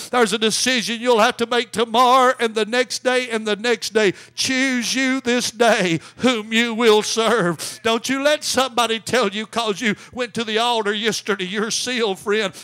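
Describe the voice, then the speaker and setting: A male preacher delivers an impassioned evangelistic sermon in a high, bright and forceful voice. He tells the story dramatically, with fervent pleading and repeated phrases that drive each point home. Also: no echo.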